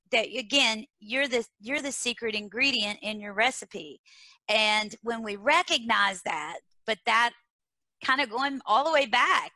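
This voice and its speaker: Woman with a Texas drawl, bright and forceful, inspirational interview guest. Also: fairly high pitch, no echo, poor audio. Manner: earnest, motivational, repeats key phrases. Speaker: guest speaker on leadership. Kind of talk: conversational interview.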